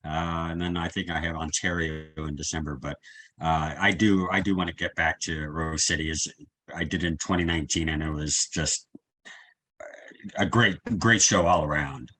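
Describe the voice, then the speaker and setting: A man's voice: a bass voice, fairly even intonation, normal speed, pushed and bright. A man interviewing a guest in a casual, agreeable and encouraging way over a video call. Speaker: interviewer, host.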